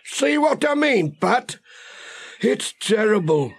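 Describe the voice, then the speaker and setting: Man putting on a high-pitched grumbling Northern farmer. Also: very animated, slightly rough, full character voice. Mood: complaining, gloomy. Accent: Northern English. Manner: mournful, drawn-out complaining with dialect words. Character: grumbling male farmer fretting over his hens.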